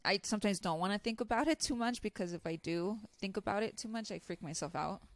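A woman co-hosting a casual podcast, chatty and animated as she retells what happened, a little stuffy. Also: mid-range voice, fast, dry recording. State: slightly stuffy. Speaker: podcast co-host and singer. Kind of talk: casual chat.

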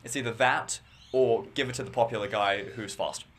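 A teenage boy with a low bass voice, chatting casually as podcast co-host, rambling and talking in bursts. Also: moderate pace, fairly bright tone, slight background noise. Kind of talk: casual chat.

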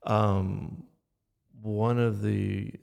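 A man's voice: lowish voice, level tone, very slow, vocal fry, darkish tone. A man conducting a conversational interview, affirming and somewhat hesitant as he rephrases his questions. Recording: long reverberant hall echo.

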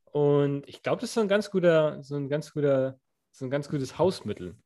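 A man's voice: a little high, moderate pace, mellow. A young man co-hosting a podcast, talking in a loose, rambling way with many fillers and restarts. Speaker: podcast co-host. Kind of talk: casual chat.

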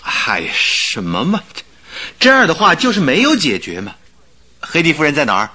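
A man's voice: a little high, wildly swooping pitch, moderate pace, bright, forceful tone, exaggerated voice. A man playing a detective's skeptical, nagging friend in a radio drama, grumbling and familiar. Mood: exasperated.

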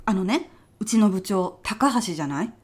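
A woman in a comedic radio drama playing a fretful, pushy senior office worker with a blunt, rough-mouthed way of talking. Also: medium pitch, animated, moderate pace, lightly acted. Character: bossy, fretful female senior office worker with petty worries.

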